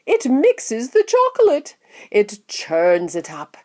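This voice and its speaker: An exuberant, eccentric gentleman candy maker from a children's storybook, acted out by a woman. He shows off proudly with theatrical, drawn-out words. The pitch is high and leaps about freely. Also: wildly swooping pitch, exaggerated voice. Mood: showy delight. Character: exuberant eccentric male candy factory owner, proudly showing off.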